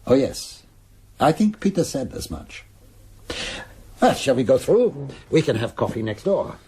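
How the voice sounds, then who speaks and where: An actor playing a genial, opinionated middle-aged Berlin publisher in a radio drama, expansive and chuckling, slightly hesitant in phrasing. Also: male voice, mid-range voice, sing-song, a little slow, mellow, slight background noise. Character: genial, opinionated older Berlin art publisher hosting Christmas guests.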